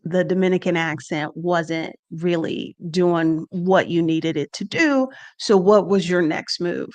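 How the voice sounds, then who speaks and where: A woman hosting an interview and asking calm, measured questions. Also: on the low side. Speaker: host.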